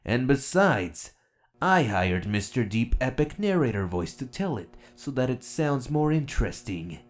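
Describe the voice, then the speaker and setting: A man's voice: medium pitch, very animated, a little slow. A man voicing a cocky, sarcastic demon hunter and storyteller in an abridged anime parody. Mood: cocky, inviting. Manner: brash, sarcastic, swaggering. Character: cocky, irritable demon hunter, half demon, laid-back storyteller.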